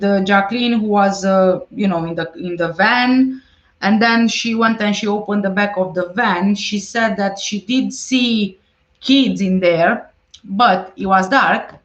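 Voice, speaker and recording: Woman host, mid-pitched voice, casual livestream chat, muffled lo-fi audio. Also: echoey room. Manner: reads viewer comments aloud and replies conversationally.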